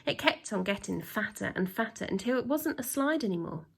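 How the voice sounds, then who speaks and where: A woman reading a children's story aloud like a teacher, with expressive, drawn-out emphasis and acted sound effects. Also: a little high, vocal fry, slightly rough.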